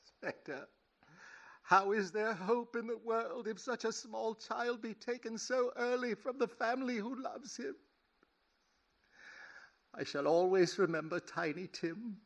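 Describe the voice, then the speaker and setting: A man playing a slow, high-voiced, remorseful man. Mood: solemn dread. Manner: solemn, mournful, deliberate. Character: remorseful Scrooge, sorrowful man pleading with a spectre. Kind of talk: 1930s-style radio play acting.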